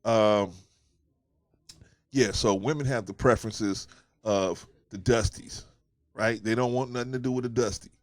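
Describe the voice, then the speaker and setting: A man's voice: fairly low pitch, steady tone, unhurried, pauses often, no echo, clean studio sound. A man with a stuffy nose from a cold, giving a casual opinion monologue as host of his channel, emphatic and preachy, repeating his points and asking "right?".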